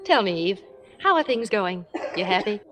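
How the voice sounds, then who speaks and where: A woman's voice: mid-range voice, sing-song, normal speed, a bit bright, soft background music. An actress playing a kindly, confident married woman with a reassuring, genteel manner in a vintage radio drama. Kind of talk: 1940s-50s radio acting and narration.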